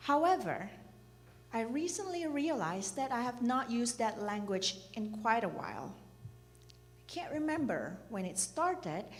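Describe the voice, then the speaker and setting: Slow, gentle Indonesian-born woman preaching. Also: on the high side. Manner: slow, gentle, asks the listeners questions. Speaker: children's program director giving a church message. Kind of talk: reflective sermon talk. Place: church hall. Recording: reverberant church hall.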